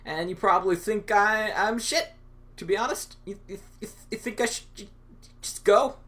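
A man's voice: high voice, normal speed, a bit bright. A young man hosting his own channel, rambling through an improvised, absurd and sarcastic monologue with lots of stammering. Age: young adult. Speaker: channel host.

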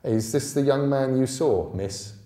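A big, bullying policeman acted out by a man reading a novel speaks with gruff, mocking officialdom. It is a storybook figure, heard roomy and slightly off the mic. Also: mid-range voice, measured pace, mellow, pitched above the performer's own voice, natural voice. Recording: roomy stage sound, distant mic.

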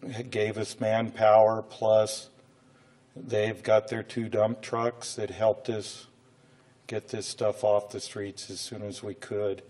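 A middle-aged American man speaks slowly and haltingly at a podium, as a city official addressing a council. His voice is on the low side with little melody, and a cold makes it come and go. He keeps his presentation informal and plain-spoken. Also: warm tone. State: voice coming and going from a cold. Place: indoor council chamber, standing at a podium.

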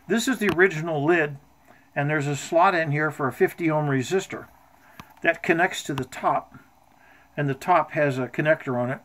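Older man, higher-pitched, explaining his gear. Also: a bit bright, poor audio. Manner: matter-of-fact, technical explaining. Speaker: amateur radio hobbyist. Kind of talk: informal show-and-tell of homemade equipment. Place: indoor home workshop.

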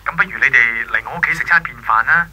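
A man's voice: mid-range voice, fast, pushed and bright, slight background noise, poor audio. A man playing a courteous, wistful young friend in a Cantonese radio drama, heard through a telephone filter, with a Hong Kong accent. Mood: hesitant, earnest. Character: earnest, wistful young male family friend, courteous and reserved.

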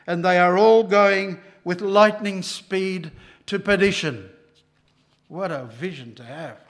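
An older man preaching a sermon at a church lectern microphone, emphatic and rising loud on key lines. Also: high-pitched, creaky.

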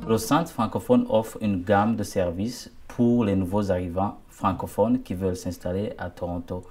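A man representing a community centre, explaining its services in an emphatic, energetic way. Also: lowish voice, moderate pace, faint music behind. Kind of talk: interview explanation.

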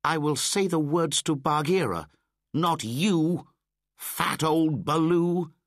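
A man's voice: mid-range voice, sing-song, slow, husky, dry recording, studio quality, pitched above the performer's own voice, exaggerated voice. A man voicing a cheeky, cross young boy raised in the jungle, in a storybook reading. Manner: raised, bright storybook child voice.

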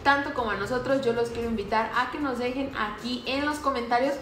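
A young Mexican woman co-hosting a gossip show, chatting in a lively, mocking way. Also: a little high, brisk, over music. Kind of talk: casual gossip chat. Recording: roomy studio with faint ambience.

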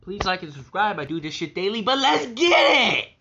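A young man around nineteen with a high-pitched voice and a lively, bouncing delivery, cursing casually as he reacts to a highlight reel. The sound is a bit muffled, with a steady hiss of noise behind him. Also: moderate pace, vocal fry. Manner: loose and profane, talks over the video. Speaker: YouTube reaction host.

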